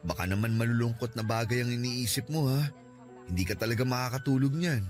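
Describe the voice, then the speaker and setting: An actor playing a devoted young boyfriend, gentle and reassuring, breaking into tearful begging in a radio drama. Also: male voice, medium pitch, fairly quick, faint music behind, close to an everyday voice.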